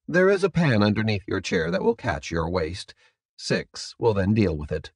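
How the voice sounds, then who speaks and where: A male narrator plays a polite captor in an audiobook. The delivery is measured and formal, detached yet courteous, with businesslike calm. The sound is close and dry. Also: fairly low pitch, gravelly, breathy edge, no room sound, exaggerated voice. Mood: calm, matter-of-fact. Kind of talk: audiobook character dialogue.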